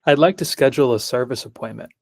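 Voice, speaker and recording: Male software demonstrator, mid-range voice, brief spoken requests on a video call. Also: mid-range voice, fast. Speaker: product demonstrator. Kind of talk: live software demo, short spoken requests.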